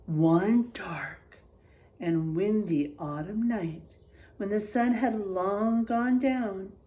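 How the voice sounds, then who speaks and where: Animated teacher reading aloud. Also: female voice, medium pitch, low-quality recording. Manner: animated, gentle, asks the children questions. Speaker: first-grade teacher. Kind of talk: reading a picture book aloud to a class.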